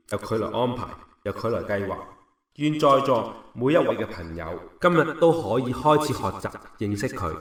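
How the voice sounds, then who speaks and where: In a roomy, echoing space, a little away from the mic, a man acts out an earnest Cantonese evangelist. He addresses a congregation with measured, declamatory phrasing, as in a radio drama sermon. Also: on the low side, fairly bright tone. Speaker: preacher giving testimony. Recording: echoey hall, distant from mic.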